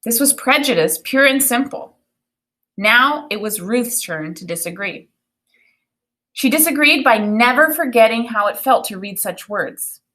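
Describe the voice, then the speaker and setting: A woman teacher reading a children's book aloud, with expressive, deliberate phrasing and explanatory asides. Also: a little high. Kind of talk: read-aloud of a children's book with think-aloud commentary.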